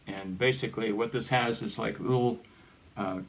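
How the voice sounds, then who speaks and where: Low-voiced man giving a halting casual tutorial. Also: fairly even intonation, vocal fry. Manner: halting, rambling explanations. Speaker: hobbyist presenter giving a gear tutorial. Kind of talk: casual unscripted demonstration. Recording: noticeable background noise with clicks.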